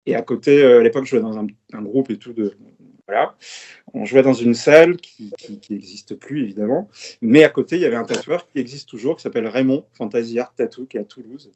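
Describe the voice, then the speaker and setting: Guest spot: laid-back French man in his fifties, middle pitch, rambling anecdotes. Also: slightly rough, dull audio. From France. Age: 50s. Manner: relaxed storytelling, quick asides and laughs. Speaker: guest tattoo artist. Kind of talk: casual podcast chat.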